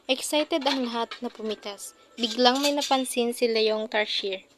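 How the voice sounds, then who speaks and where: A woman's voice: a little high, measured pace. A woman narrating a scripted children's puppet story, with faint noise behind.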